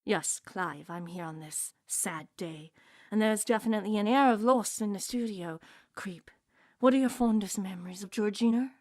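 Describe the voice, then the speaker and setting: A woman's voice: mid-range voice, normal speed, darkish tone, no room sound, clean studio sound, natural voice. A woman playing an earnest, mock-solemn news reporter in an audio comedy.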